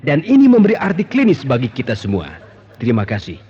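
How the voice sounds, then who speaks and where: An actor playing a formal professor giving an oratorical lecture to medical colleagues in a radio advert. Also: male voice, mid-range voice, huge pitch swings, quick pace, warm tone, slightly rough. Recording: dull lo-fi radio recording.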